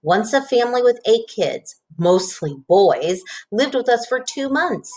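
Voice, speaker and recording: A woman reading a children's storybook aloud in an expressive narrating style. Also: on the high side, a little slow. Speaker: storybook reader.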